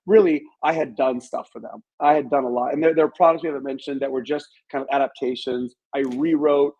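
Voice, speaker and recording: A man's voice: mid-range voice, steady tone, brisk, a little mellow, breathy edge, dull audio. Male interview guest, author type, modest and reflective, conversational storyteller.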